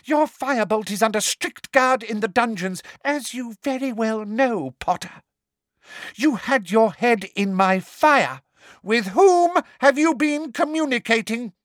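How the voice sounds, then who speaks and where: A man voicing a sugary, cruel middle-aged witch official with a shrill, sneering tone. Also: big pitch swings, slow, no room sound, studio quality, heavily acted. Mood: icy menace.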